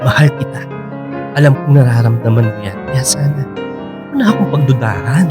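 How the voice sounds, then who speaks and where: A man's voice: mid-range voice, animated, a little fast, music in the background, close to an everyday voice. An actor playing an earnest, sincere young man in love in a Filipino radio drama.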